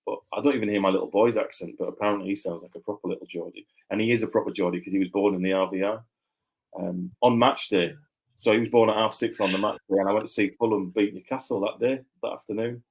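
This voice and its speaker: A man's voice: fairly low pitch, steady tone, fast talker, poor audio. A man with a mixed northern English accent, chatting as a guest author in a loose, rambling way full of fillers and restarts. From Yorkshire, England. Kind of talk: casual interview chat.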